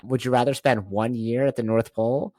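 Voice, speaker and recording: A man's voice: medium pitch, fairly even intonation, a little fast, darkish tone, no room sound. A man hosting a podcast interview, easygoing and chatty. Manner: easygoing, frequent "yeah" affirmations. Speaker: podcast host. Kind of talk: casual interview chat.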